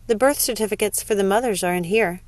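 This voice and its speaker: Young nurse in a novel, read by a woman narrator: practical, reassuring, mid-pitched, dry recording. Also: fast talker, no echo, natural voice.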